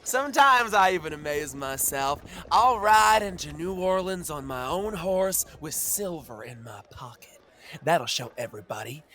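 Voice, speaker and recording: An actor playing a cocky, boastful and naive young drifter in an audio drama, theatrical and drawn-out in his delivery. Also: fairly high pitch, lively intonation, pushed and bright. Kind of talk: dramatic audio fiction acting.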